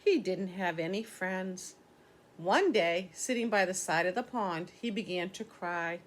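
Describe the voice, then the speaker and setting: A woman's voice: on the low side, animated, unhurried. An older woman reading a children's story aloud, explaining things simply as a teacher would to young listeners.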